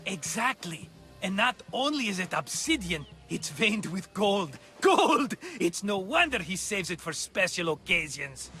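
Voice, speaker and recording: An actor playing a scheming, flattering, tight-fisted merchant in a video game, with a theatrical, wheedling manner. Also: male voice, high voice, unhurried, faint music behind, exaggerated voice. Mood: scheming, eager. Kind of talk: video game cutscene acting.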